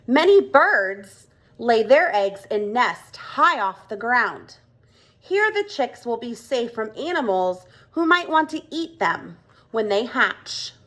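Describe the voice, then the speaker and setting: A woman reading a children's storybook aloud like a kindergarten teacher, expressive and deliberate. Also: a little high.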